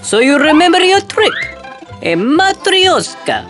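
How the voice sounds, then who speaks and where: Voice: very high voice, very animated, a little slow, a bit bright, soft background music, heavily acted. An actor voicing a mysterious, formal man in a children's cartoon. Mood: warm, formal. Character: mysterious, formal Russian man in trench coat.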